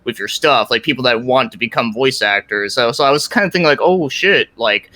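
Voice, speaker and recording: Bright-voiced man chatting casually on a podcast. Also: medium pitch, fast talker. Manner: rambling, peppered with "like" and swearing. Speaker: guest, creator of a fan parody series.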